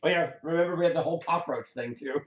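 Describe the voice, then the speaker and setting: Hoarse man in a roomy echo. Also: on the high side, fast, dull audio. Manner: animated, laughing, swearing. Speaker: co-host. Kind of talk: casual chat about news clips.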